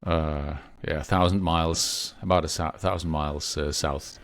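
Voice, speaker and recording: A man, a podcast guest, who talks in a halting way with many restarts. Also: rumbling low, steady tone. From Denmark. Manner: hesitant, many restarts and fillers. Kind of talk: conversational interview.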